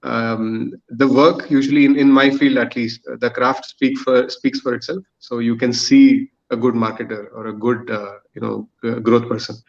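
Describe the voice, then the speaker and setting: A man speaking as an employer on a podcast panel, in halting, stop-and-start phrases. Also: medium pitch, flat delivery, gravelly, lo-fi sound.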